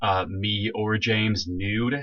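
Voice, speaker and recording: A young man co-hosting a casual podcast, tossing in goofy jokes with a deadpan delivery. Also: lowish voice, slow. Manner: goofy, joking deadpan asides. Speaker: podcast co-host. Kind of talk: casual chat. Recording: dull lo-fi recording.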